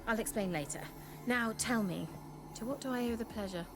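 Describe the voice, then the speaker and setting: An actress playing a vain, sharp-tongued sorceress in a video game, speaking in a haughty, wry, coaxing manner. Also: female voice, fairly high pitch, fairly quick, natural voice. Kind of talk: video game cutscene acting. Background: game soundtrack music.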